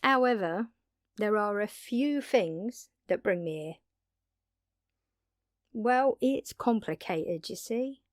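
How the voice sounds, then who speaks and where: A woman playing an earnest, blunt, long-lived vampire in an intimate audio drama, speaking haltingly and pleadingly with dramatic pauses. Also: mid-range voice, lively intonation, no room sound, studio quality. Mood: hesitant, intrigued. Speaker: voice actor.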